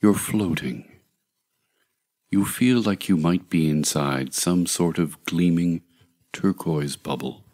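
Super deep husky guy doing a guided meditation, soothing, leaves long pauses. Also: darkish tone. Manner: measured, soothing, deliberate delivery with long pauses. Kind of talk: slow scripted guided meditation.

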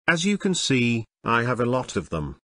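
A synthetic text-to-speech man's voice, giving scripted step-by-step tutorial narration in a measured, instructional way. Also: mid-range voice, wildly swooping pitch, a bit bright, dry recording.

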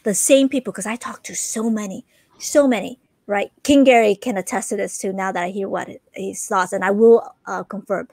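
A woman in her thirties hosting a casual livestream, chatting in a rambling, animated way and repeating herself for emphasis. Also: mid-range voice, moderate pace, dry recording. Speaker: podcast host. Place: small room full of collectibles, indoors. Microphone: close desk microphone.

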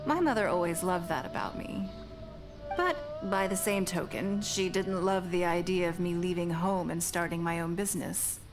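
A woman voicing a poised, shrewd, wealthy businesswoman in a video game, speaking in a measured, genteel, lightly teasing way. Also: on the low side, natural voice, rough recording. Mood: reflective, warm. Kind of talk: video game dialogue acting. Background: background music.

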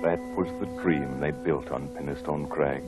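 Very low voice, haunted old gentleman, radio drama narrator, music behind. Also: steady tone, normal speed. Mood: brooding, wistful. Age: older. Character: haunted, brooding old gentleman recalling the past.

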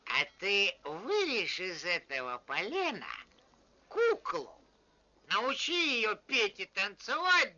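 A tipsy old carpenter from a vintage cartoon mutters and exclaims in a very sharp, strident voice. He is sly and jumpy, dragging his words out slowly. The soundtrack is dull and old, with faint music behind. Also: extremely high pitch, full character voice. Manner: muttering, exclaiming, repeating himself. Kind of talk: vintage cartoon character acting.

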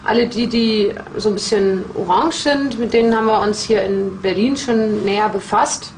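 A woman's voice: medium pitch, normal speed, echoey room, a little hiss or hum, rough recording. A woman police investigator explaining case evidence in an interview in a matter-of-fact, explanatory way.

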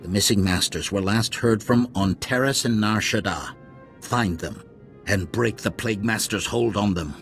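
Male council master for a sci-fi game: low, formal, authoritative, music underneath. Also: a bit bright. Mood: grave. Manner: measured, formal. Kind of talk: video game voice acting.

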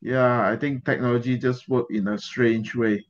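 A middle-aged man with a Malaysian accent, casually hosting a livestream interview in a halting way. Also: medium pitch, steady tone. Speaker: host. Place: indoor room, on a video call. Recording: dull, lo-fi video-call audio.

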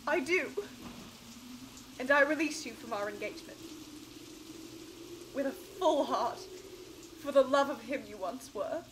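A young woman playing a high-voiced, sorrowful Victorian sweetheart. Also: lively intonation, measured pace, pauses often, fairly bright tone, slight background noise. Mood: sorrowful, firm. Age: teenage. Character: sorrowful, resolute young Victorian woman ending her engagement. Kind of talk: radio drama acting.

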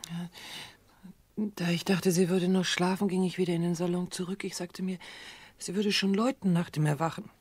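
An actress in a German radio drama playing a distraught, guilt-ridden daughter, breathy and hushed, speaking in an anguished, halting way. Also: female voice, on the low side, fairly even intonation, dry recording. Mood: subdued. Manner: anguished, halting, self-reproaching.

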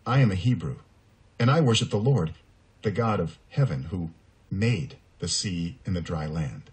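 A resigned prophet, voiced by a male narrator from a children's puppet show. He speaks gravely in a lowish voice, solemn and measured. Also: expressive, a little mellow.

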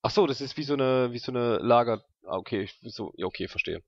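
A man's voice: medium pitch, animated, fast, no echo. A man playing a tabletop role-playing game, chatting casually and hesitantly as he thinks aloud, in a slightly dull, dry recording.

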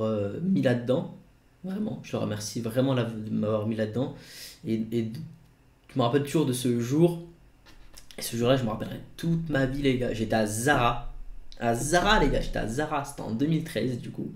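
Young man, 20s, casual YouTuber chat, rambling with fillers, voice of middle pitch, roomy echo. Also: animated, brisk, vocal fry. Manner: rambling, many fillers and restarts. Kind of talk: casual vlog to camera. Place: home studio room.

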